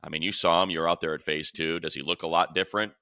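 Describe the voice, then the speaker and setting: Male sports talk host: extremely low voice with fry, conversational, circling back on his phrasing. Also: little pitch movement, fast, sharp tone, dry recording, clean studio sound.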